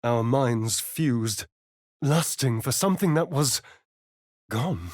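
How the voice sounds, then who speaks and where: A man's voice: mid-range voice, animated, slow pace, darkish tone, no room sound, clean studio sound. An actor playing a sly, vain, sardonic male vampire rogue in a video game, with an arch, drawling, theatrical delivery. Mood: hushed narration. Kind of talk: theatrical video game character lines and narration.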